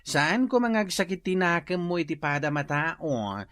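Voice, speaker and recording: Radio drama: man playing a preachy friend, lively pitch, dry close mic. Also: normal speed, dry recording. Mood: lecturing. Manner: scolding, lecturing, lively pitch. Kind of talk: Ilocano radio comedy drama acting.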